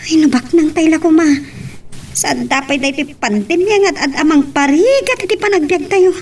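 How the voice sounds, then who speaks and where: Radio drama role: poor, long-suffering village woman, played by a woman, high and pleading, recorded at some distance. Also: a little fast, natural voice. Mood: worried, resigned. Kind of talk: Ilocano radio drama acting. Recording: distant mic, slight background noise.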